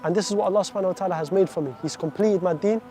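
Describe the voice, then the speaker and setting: A man's voice: high-pitched, racing, warm tone, a little hiss or hum. A man in his twenties from Iraq, giving an earnest, heartfelt faith testimony full of devout phrases. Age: 20s.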